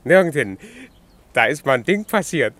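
An actor playing an offhand, cheeky young lad in a German radio play, laconic and colloquial. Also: male voice, on the high side, very animated, moderate pace, fairly bright tone, dry recording. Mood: matter-of-fact.

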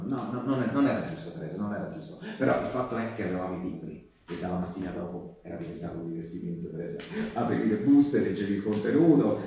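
Very reverberant stage recording: actor as low, dark-voiced male partisan recounting the war, emphatic, with deliberate pauses. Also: slight background noise, lightly acted. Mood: wistful, confiding. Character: male wartime partisan recounting resistance memories to Teresa. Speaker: theatre storyteller. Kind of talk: live theatrical narrative monologue. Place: live theatre performance with live music. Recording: very echoey stage recording, distant, music behind.

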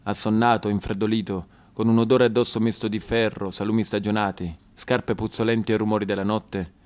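A man's voice: fairly low pitch, little pitch movement, brisk, darkish tone. A man from southern Italy performing a theatrical stage monologue, with a rhythmic, rhyming delivery that often slips into song. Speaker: actor and storyteller. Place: theatre stage, radio broadcast of a live show. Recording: music playing under the voice. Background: live band with guitar and accordion.

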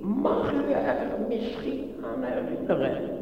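An actor playing a cold, scheming member of a futuristic ruling council in a radio drama, speaking formally and calculatingly. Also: male voice, very high voice, very animated, takes it slowly, creaky, lo-fi sound, heavily acted. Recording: cavernous echo, distant, dulled, music underneath.

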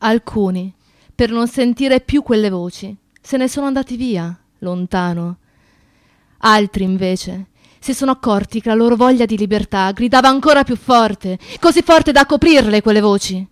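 A woman delivering a dramatic scripted monologue, solemn and emphatic, with deliberate repetition. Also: fairly high pitch, no echo, studio quality. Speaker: actress and narrator.

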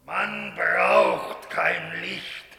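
A man playing a solemn, supernatural stone statue of a dead commander in a German radio play, speaking in slow declamation. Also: sits high, steady tone, vocal fry, sharp tone, heavily acted. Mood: solemn menace. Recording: echoing hall with long reverb.

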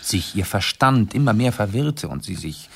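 A suave posh detective in a radio drama, lowish husky voice, savours every explanation. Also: male voice, lively intonation, normal speed, fairly bright tone, exaggerated voice. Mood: explaining gravely. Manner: precise, theatrical, savouring his explanations. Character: urbane, self-assured male amateur sleuth and aristocrat. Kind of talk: German radio play acting.

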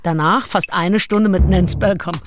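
An actress playing a confident, seductive gentlewoman of the 19th century who confides her diary in an intimate radio drama. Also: fairly low pitch, steady tone, measured pace, noisy background, lightly acted. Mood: self-assured, seductive. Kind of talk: radio drama acting, first-person diary narration. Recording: studio voice over prominent music. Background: music.

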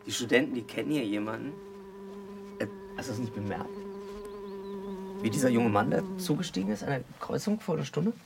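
A man acting in a naturalistic radio drama as a nervous, easily agitated aid worker who speaks haltingly and repeats himself. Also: mid-range voice, animated, vocal fry, heavy background noise. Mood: cautious, instructing.

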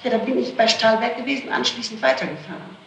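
A woman's voice: fairly high pitch, animated, fast, muffled recording. A woman being questioned as a suspect, answering defensively and insistently and repeating herself. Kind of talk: police interrogation answers. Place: echoey room, archival interrogation tape. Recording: noisy, roomy archival 1960s tape recording.

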